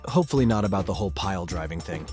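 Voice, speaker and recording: A man's voice: low-pitched, expressive, rapid-fire, darkish tone, soft background music. A man playing a wry, awkward teenage boy who narrates a visual novel game in a dry, sardonic manner. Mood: awkward, confused. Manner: dry, sardonic inner monologue. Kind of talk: first-person visual novel narration and dialogue.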